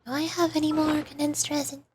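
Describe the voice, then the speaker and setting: A woman's voice: sits high, level tone, brisk, darkish tone. A woman giving casual, chatty livestream commentary, talking to herself as she plays a video game. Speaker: streamer, virtual YouTuber. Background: game music.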